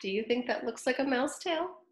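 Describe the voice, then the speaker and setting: A woman reads a children's story aloud, stopping for friendly asides to her young listeners. Her voice is fairly high and very lively in its rise and fall. The room adds a roomy echo. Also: moderate pace, slight background noise. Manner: animated and chatty, talking to young listeners. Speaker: storybook reader.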